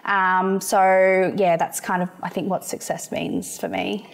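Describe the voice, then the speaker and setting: A woman's voice: medium pitch, flat delivery, measured pace, vocal fry. Confident young Australian woman. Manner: confident, reflective, many ums and "you know". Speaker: entrepreneur guest. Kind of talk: interview answers.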